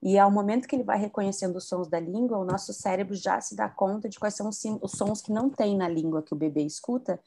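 A woman's voice: mid-range voice, fast, creaky, hardly any pauses, mellow. A woman from southern Brazil, an educator and author, explaining bilingualism on a video call and often adding tag questions. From southern Brazil (Rio Grande do Sul).

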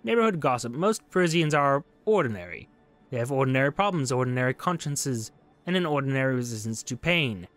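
A man's voice: mid-range voice, animated, a little fast, a bit bright, no echo, soft background music, close to an everyday voice. A man reading a matter-of-fact, grim informant. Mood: cold, matter-of-fact. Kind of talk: reading game dialogue aloud.

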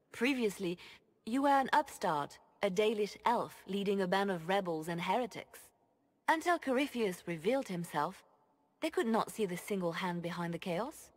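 An actress voicing a composed, loyal female spymaster in a video game cutscene. She speaks in a measured, formal way with a dry touch of amusement. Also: mid-range voice, expressive, lightly acted. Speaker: spymaster advising the Inquisitor.

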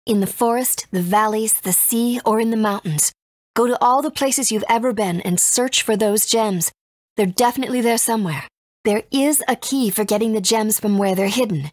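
A woman's voice: fairly high pitch, normal speed, dry recording, clean studio sound, lightly acted. A woman playing a composed, authoritative guide in a video game, serious and explanatory. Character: composed, authoritative woman guiding young heroes.

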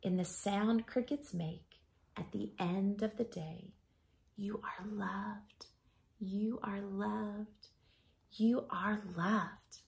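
A woman in her thirties reading a picture book to children like a schoolteacher, gently and expressively, softening to a breathy hush at line ends. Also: medium pitch, steady tone, extremely slow. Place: small living room at home.

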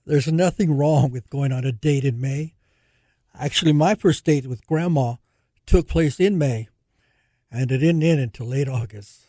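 A man's voice: medium pitch, a little slow, dark tone, breathy edge, dry recording, clean studio sound. A man playing an affectionate old grandfather in an absurdist radio play. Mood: doting.

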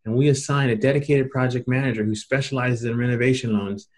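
A man's voice: mid-range voice, steady tone, fast, darkish tone. A middle-aged man with an American accent, presenting an informal training webinar in a plain-spoken, colloquial, explanatory way.

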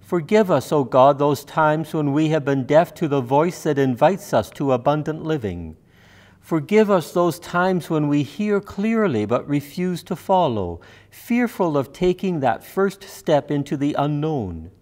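Older man praying, slightly high-pitched voice. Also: animated, darkish tone. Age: 70s. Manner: measured, formal, reverent reading. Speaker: minister leading worship. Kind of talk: scripted liturgy and prayer. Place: church sanctuary, indoors.

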